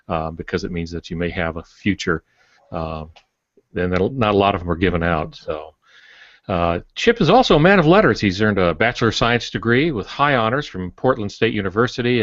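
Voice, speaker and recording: An older man co-hosting a podcast, speaking informally and haltingly with chatty asides. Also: sits low, a bit bright, no echo. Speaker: podcast co-host, author. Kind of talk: informal guest introduction. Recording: dull, dry lo-fi audio.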